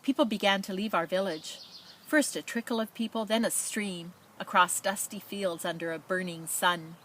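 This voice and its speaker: A woman reading a children's picture book aloud in a measured, expressive storytelling manner, with spoken asides. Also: mid-range voice, slight background noise. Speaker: storybook reader.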